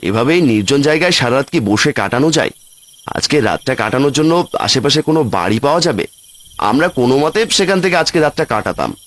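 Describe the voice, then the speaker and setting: A man playing an excitable city office worker on a village trip in a cartoon ghost story. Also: mid-range voice, brisk. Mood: anxious, pleading. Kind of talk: cartoon horror story voice acting.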